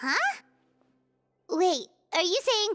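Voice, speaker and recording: A tiny childlike game fairy. The voice is squeaky and extremely high, swinging wildly in pitch at an unhurried pace. It is eager and excitable. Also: female voice, no echo, soft background music, exaggerated voice. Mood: eager, surprised. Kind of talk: anime-style game voice acting.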